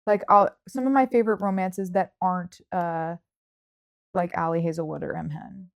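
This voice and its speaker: A woman's voice: lowish voice, fairly even intonation, normal speed, vocal fry. Casual, hedging woman chatting about books. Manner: informal, hedging, frequent "like". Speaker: book podcast host. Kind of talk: casual book chat.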